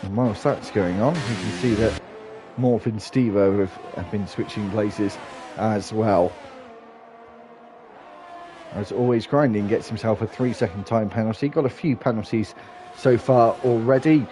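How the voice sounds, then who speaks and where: A man's voice: on the low side, a little slow. Guy doing live sim race commentary, bit bunged up from hay fever, keeps restarting phrases, faint hiss behind. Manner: steady running commentary with small restarts.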